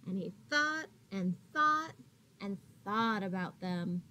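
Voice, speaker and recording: A woman in her thirties, a teacher reading a picture book aloud to young children in a deliberate, expressive way with drawn-out words. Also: mid-range voice, rough recording. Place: small indoor classroom. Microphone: laptop or webcam at arm's length.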